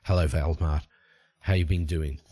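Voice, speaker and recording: A man voicing a terse, low-key adventurer hero, asking questions in a businesslike way as part of a told story. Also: fairly even intonation, normal speed, mellow, husky. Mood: weary greeting.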